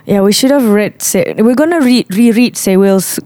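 A woman's voice: medium pitch, normal speed, warm tone, no room sound. A woman with a Malaysian accent co-hosting a podcast, chatting casually with short, responsive interjections. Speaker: podcast co-host. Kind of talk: casual chat.

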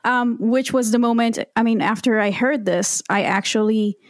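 A woman's voice: a little high, moderate pace, no echo. Woman, podcast guest, reflective storytelling, dry close mic. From Israel. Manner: reflective and candid, with frequent ums and restarts. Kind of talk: conversational storytelling.

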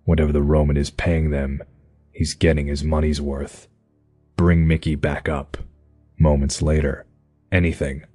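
A man voicing a brooding masked vigilante, narrating in a terse, gruff, hard-boiled manner. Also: a bass voice, little pitch movement, unhurried, darkish tone, exaggerated voice. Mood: grim. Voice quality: husky, gruff. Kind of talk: audio drama narration. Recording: faint music behind.

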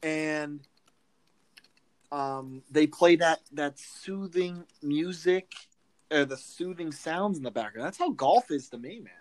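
A man's voice: on the high side, slow pace. A man in his twenties co-hosting a casual sports podcast, laid-back and musing. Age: 20s. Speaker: podcast co-host.

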